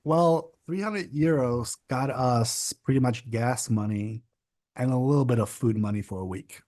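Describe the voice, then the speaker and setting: Casting: young guy, chatty and rambling, mid-pitched voice, dry close sound. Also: a little slow, a little mellow, no room sound. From Czech Republic. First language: Czech. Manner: rambling, restarts and stacks of fillers. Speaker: podcast guest. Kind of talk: casual interview chat.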